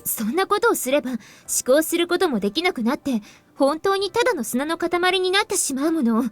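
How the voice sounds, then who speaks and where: A clever, assertive schoolgirl from a visual novel, talks in a high, quick voice. She sounds self-assured and emphatic, with faint music underneath. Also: expressive. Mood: confident, explaining. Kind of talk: Japanese visual novel voice acting.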